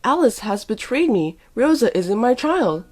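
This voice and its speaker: Woman acting out a belligerent husband, raised pitch. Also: big pitch swings, unhurried. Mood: accusing. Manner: yelling, accusatory. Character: angry drunken husband, accusing and belligerent. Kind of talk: dramatised story reading.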